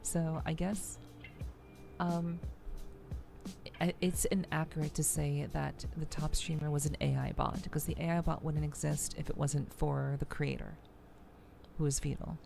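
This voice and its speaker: A woman hosting a livestream, chatting casually with viewers in a halting, measured way, often repeating words as she thinks. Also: sits low, fairly even intonation, vocal fry, music in the background. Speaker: VTuber livestream host.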